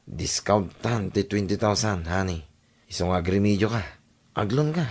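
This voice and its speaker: A man with an Ilocano accent playing a smooth-talking boyfriend who coaxes his sweetheart in a radio drama. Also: fairly low pitch, moderate pace, darkish tone. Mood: coaxing. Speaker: actor in an audio drama.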